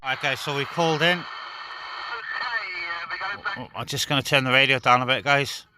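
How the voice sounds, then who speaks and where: A man's voice: medium pitch, fairly even intonation, normal speed, pushed and bright, poor audio. A man hosting a casual, informal vlog, chatting to his viewers as he operates a CB radio. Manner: informal, addresses viewers as guys. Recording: clear background noise, radio and vehicle sounds.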